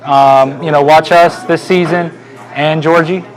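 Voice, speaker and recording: A man, a team owner, presenting a sponsor segment in a relaxed way with many fillers. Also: a little high, unhurried, low-quality recording.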